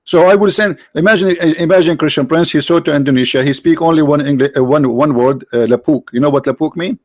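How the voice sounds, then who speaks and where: A man hosting a debate, arguing forcefully and repeating his points in broken English. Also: fairly high pitch, fast, no room sound. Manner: forceful, repetitive, nonnative English grammar. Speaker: debate host. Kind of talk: argumentative debate on a live call.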